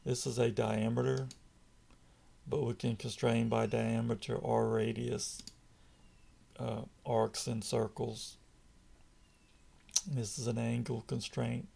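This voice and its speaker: A man with a raspy, gravelly voice talks through a screen-recorded software tutorial. His pace is slow and his tone stays flat and dark. He explains each step patiently and reassures the viewer. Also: medium pitch. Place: indoors at a computer, voice-over on screen capture.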